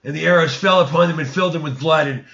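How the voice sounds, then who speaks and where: A man reading an ancient religious text aloud as a narrator, in a deliberate storytelling manner, stumbling over words now and then. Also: a little high, gravelly, fairly bright tone, muffled recording.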